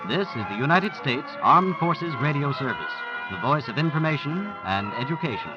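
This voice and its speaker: A man making a formal, measured radio announcement. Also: fairly high pitch, animated. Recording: muffled vintage radio broadcast with loud music.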